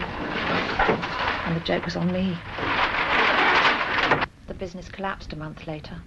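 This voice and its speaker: A woman's voice: on the low side, gravelly. An actress playing a rueful former company boss who tells of her own failings in a dry, wry monologue, on an old 1970s film soundtrack. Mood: subdued, resigned. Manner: dry, wry, self-deprecating storytelling. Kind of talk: first-person dramatised monologue in a training film. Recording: muffled, noisy 1970s 16mm film soundtrack.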